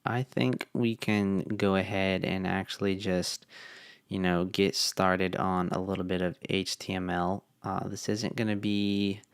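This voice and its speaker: A young man talking through a casual coding tutorial, relaxed and thinking aloud with fillers, his voice tired from long meetings. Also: deep voice, a little slow, vocal fry, clean tone, no room sound, clean studio sound. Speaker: web developer.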